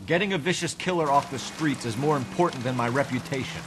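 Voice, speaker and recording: An actor playing an earnest, determined homicide detective in a video game, with a clipped, serious way of talking. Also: male voice, mid-range voice, fast, noisy background, natural voice. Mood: insistent. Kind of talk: video game cutscene acting.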